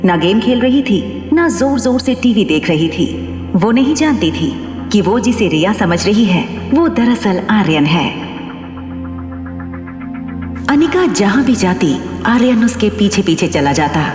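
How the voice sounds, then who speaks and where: A woman's voice: mid-range voice, sing-song, unhurried, over music. A woman narrating a scripted dramatic audio story. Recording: echoey long reverb.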